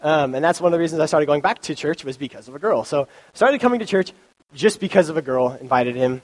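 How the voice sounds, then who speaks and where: A young man gives a relaxed youth sermon into a microphone, a little stuffy from a cold. His delivery is informal and conversational, full of fillers and asides. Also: fairly high pitch, fast talker. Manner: informal, chatty, many ums and likes. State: has a cold, sniffly. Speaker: youth pastor. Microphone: handheld or stand mic.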